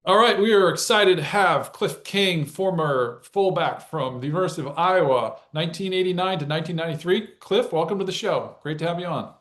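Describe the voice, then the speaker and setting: A man's voice: fairly high pitch, fairly quick, few pauses, a bit bright. A man hosting a podcast, welcoming his guest and asking questions in an upbeat, conversational way. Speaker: podcast host. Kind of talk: interview hosting.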